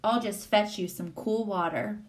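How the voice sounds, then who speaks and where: A woman's voice: mid-range voice, measured pace, natural voice. A woman voicing a small, eager and courteous schoolboy with a folksy rural Southern US accent in a story. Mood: gently reassuring. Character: small eager schoolboy, polite, gallant and protective.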